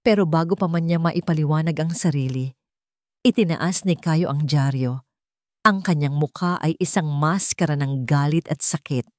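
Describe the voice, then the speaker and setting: A woman reads a dramatic scripted story in a somewhat low voice. Her delivery is measured, with pauses for weight. The sound is dry, with very little room in it. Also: a little mellow, no echo. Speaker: story narrator.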